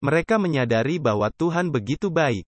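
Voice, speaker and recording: A man's voice: a little high, expressive, brisk, dry recording. A man preaching a dubbed sermon earnestly, with emphatic rhetorical questions put to his listeners.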